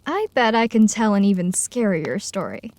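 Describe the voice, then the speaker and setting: A woman's voice: mid-range voice, very animated, moderate pace, a little mellow, dry recording. A woman voicing a confident, playful female dragon who tells campfire tales in a fan audio drama. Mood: challenging.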